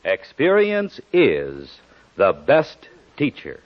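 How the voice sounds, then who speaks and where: A man announces a commercial in 1940s radio style. His delivery is extremely animated, emphatic and salesmanlike. The recording sounds muffled and old. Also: mid-range voice, very slow. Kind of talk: 1940s radio advertising pitch.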